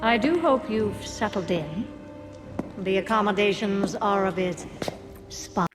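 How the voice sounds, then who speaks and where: An actress playing a cold, commanding, bitter villainess in a game cinematic, sardonic and deliberate. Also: female voice, medium pitch, lightly acted. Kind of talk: dramatic game cinematic acting. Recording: long reverb under cinematic music.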